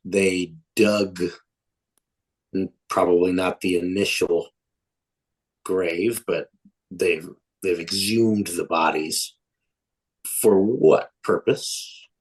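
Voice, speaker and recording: A man's voice: deep voice, very slow, long pauses, breathy edge, full character voice, poor audio. A man playing an earnest, puzzled woman wildlife officer in a tabletop game, hesitant and analytical.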